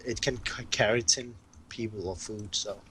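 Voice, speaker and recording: A man from Denmark with a Danish accent, a game designer chatting casually and haltingly while playing a board game. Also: fairly low pitch, sharp tone, a little hiss or hum, rough recording.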